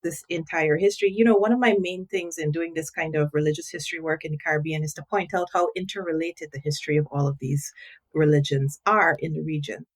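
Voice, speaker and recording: A middle-aged woman from Guyana, a literature professor, explaining thoughtfully in a podcast interview with frequent pauses and ums. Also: sits low, steady tone. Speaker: guest professor.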